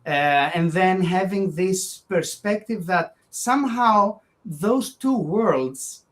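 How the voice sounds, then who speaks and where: A man's voice: sits high, expressive, takes it slowly, a bit bright. A man from Greece with a Greek accent, speaking as an interview guest in long, earnest, winding sentences that often restart. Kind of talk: conversational interview.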